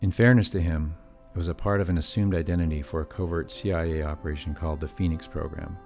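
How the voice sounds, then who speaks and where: Very deep-voiced man narrating wryly. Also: fairly even intonation, quick pace, darkish tone, soft background music. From California. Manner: wry, self-deprecating asides. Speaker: narrator of his own family story. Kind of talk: scripted personal documentary narration.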